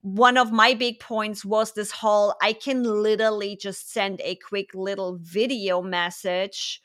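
A woman with a German accent hosts a relaxed business podcast interview. Her voice is bright and clear, and she talks in a chatty, curious way with quick asides. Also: medium pitch. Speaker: podcast host. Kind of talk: casual interview chat.

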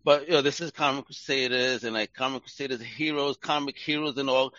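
A male podcast host who talks with a bright, forceful edge and slangy, excited energy, laughing between questions. The room sounds dry and close. The audio is a bit dull and lo-fi. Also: medium pitch, a little fast, no room sound. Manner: hyped-up, slangy, laughs a lot. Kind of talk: casual interview chat.

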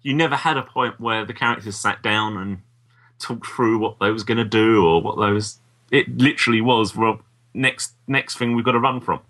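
A man's voice: lowish voice, moderate pace, a bit bright. A man talking casually as co-host of a review podcast. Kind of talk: casual review chat about audio dramas.

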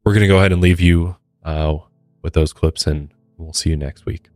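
A man with an extremely low, even voice talks as a podcast host in a serious, measured way. The sound is dry and close, with very little room in it. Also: no room sound, soft background music. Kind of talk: conversational true-crime podcast talk.

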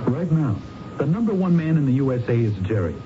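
An actor playing a crooked, cynical young investigator in a radio crime drama, blunt, slangy and impatient. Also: male voice, medium pitch, very animated, a little fast, music in the background, lightly acted. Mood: exasperated, scolding. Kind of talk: old-time radio drama acting. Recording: muffled, noisy old radio broadcast.

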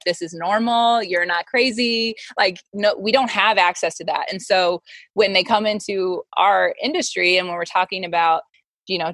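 A woman's voice: on the low side, a bit bright, dry recording. A woman in her thirties talking casually as an interview guest, candid and emphatic, sprinkling in "like" and voicing quotes as she goes. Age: 30s. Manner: candid, emphatic, peppers talk with "like" and acted-out quotes. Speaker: interview guest, modeling agency founder and mentor.

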